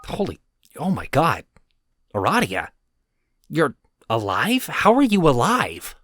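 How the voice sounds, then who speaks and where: A man's voice: fairly high pitch, wildly swooping pitch, slow pace, over music, voice pushed up, full character voice. A man voicing a sarcastic, swearing young male troll in an audio drama. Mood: stunned. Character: foul-mouthed, grieving young male troll.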